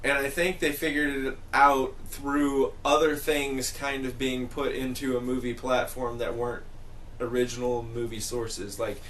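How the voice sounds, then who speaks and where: A man's voice: mid-range voice, flat delivery, unhurried, a bit bright, slight background noise, low-quality recording. A man in a casual group discussion, talking in a rambling, emphatic way with plenty of slang. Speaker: podcast panelist.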